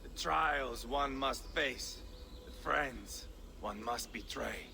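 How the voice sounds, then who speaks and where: An actor playing a bloodthirsty, taunting Viking warrior in a video game, theatrical and unhinged, moving between whispers and roars. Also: male voice, mid-range voice, slow pace, creaky, sharp tone, slight background noise, heavily acted. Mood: gloating, taunting. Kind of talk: dramatic video game acting.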